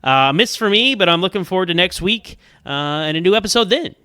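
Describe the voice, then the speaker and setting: A man with a bright, clean voice talks through a casual television review in a close, dry recording. He rambles and stammers as he works through his opinions, speaking like a podcaster. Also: high-pitched, lively intonation, fairly quick, smooth, no room sound. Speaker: podcaster giving listener review. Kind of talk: casual spoken TV episode review.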